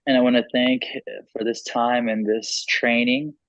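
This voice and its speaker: A man's voice: medium pitch, flat delivery, takes it slowly, fairly bright tone, dry recording. Male interpreter on dull, dry call audio. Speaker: interpreter between English and Spanish. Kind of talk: consecutive interpreting on a group call. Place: online group video call.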